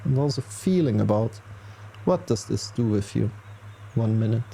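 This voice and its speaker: Calm guy doing guided meditation, soft mellow tone, gentle instructions w long pauses, bit of background noise. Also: medium pitch, gravelly. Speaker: yoga nidra teacher.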